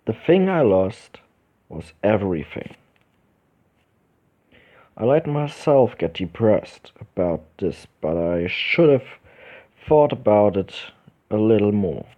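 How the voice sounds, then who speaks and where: Man, let's play reader, unhurried with lively intonation, stumbles now and then. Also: fairly low pitch. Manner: reads narration slowly with small stumbles and restarts. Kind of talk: reading a visual novel's text aloud. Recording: dull lo-fi recording with game music behind.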